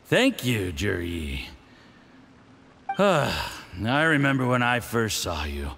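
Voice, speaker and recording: A drunken, self-satisfied diplomat from a video game. His pitch lurches up and down dramatically as he rambles with jovial condescension. The voice rings in a long reverb. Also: huge pitch swings, slow pace, gravelly, a bit bright, slight background noise, heavily acted. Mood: boastful, tipsy. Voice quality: tipsy, loose delivery.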